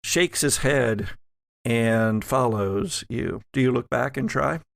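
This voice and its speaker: A man narrates a tabletop game as its game master. His voice is gravelly and recorded very dry. He builds descriptions in short phrases, pausing as he improvises. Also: mid-range voice, no echo. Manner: halting, pauses mid-sentence while describing scenes. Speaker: game master of a horror role-playing podcast.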